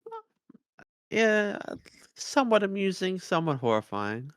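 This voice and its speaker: A man co-hosting a podcast in casual discussion, speaking in measured, halting fragments. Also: sits high, very animated, dry recording. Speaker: podcast co-host.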